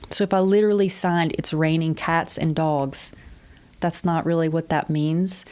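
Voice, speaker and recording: A woman who teaches and interprets sign language, giving clear, teacherly explanations with examples during an interview. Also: low-pitched, darkish tone.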